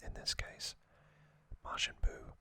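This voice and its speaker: Slow, whispering male ASMR host. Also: sharp tone. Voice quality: breathy, unvoiced whisper. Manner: slow, halting delivery in short phrases. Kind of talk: whispered ASMR trivia recap.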